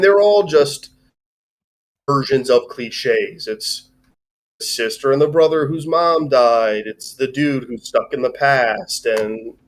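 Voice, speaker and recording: A man's voice: mid-range voice, poor audio. A man hosting a casual movie review chat, giving opinionated but hedged takes with frequent digressions. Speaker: podcast host.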